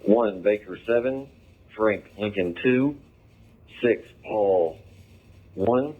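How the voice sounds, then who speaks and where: American male patrol officer, low and slow, calling in over a thin police radio. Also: husky. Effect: police radio transmission. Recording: narrow phone-like police scanner radio.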